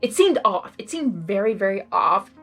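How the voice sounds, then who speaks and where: A woman reads a story aloud and adds expressive, rambling casual reactions. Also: medium pitch, normal speed, soft background music. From Hungary. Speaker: fan fiction reader and commentator. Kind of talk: blind reading with casual reaction commentary.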